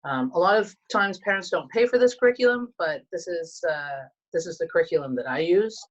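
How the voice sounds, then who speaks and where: Woman, from Canada, thirties, low-pitched, soft-spoken, hesitant, casual chat. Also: animated, fairly quick, dull audio. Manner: soft-spoken, reflective, many fillers and restarts. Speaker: guest, teacher and coach. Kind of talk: casual conversation.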